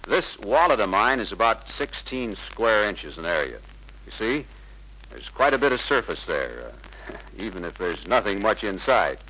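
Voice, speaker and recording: Young male salesman: polite, persuasive, forceful bright voice, faint noise of an old 1950s film soundtrack. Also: medium pitch, poor audio. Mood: friendly, confident. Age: young adult. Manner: deferential, persuasive, earnest. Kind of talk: 1950s sales pitch acting.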